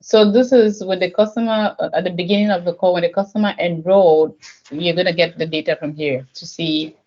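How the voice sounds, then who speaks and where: This speaker talks casually and checks their understanding out loud, circling back with restarts as they form each question. The pitch is middling. The audio is dull and lo-fi, as if coming over a call. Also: steady tone, a little fast. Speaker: learner asking questions.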